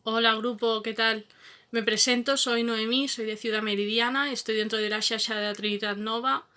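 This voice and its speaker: A woman's voice: a little high, fairly even intonation, normal speed, sharp tone. A woman with an accent from Spain, a volunteer recording a personal message of thanks. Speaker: volunteer mask distributor.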